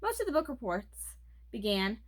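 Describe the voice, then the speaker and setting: A woman's voice: a little high, very animated, moderate pace. A woman reading a children's book aloud as narrator. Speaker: storybook reader.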